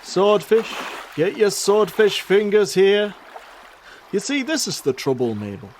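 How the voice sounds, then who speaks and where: A man acts a henpecked fish seller in a radio play, full of complaint. His voice is fairly high for a man and rises and falls in an animated way. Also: unhurried, a little hiss or hum. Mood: grumbling. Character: grumbling henpecked husband hawking fish on a beach. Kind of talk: amateur radio play acting.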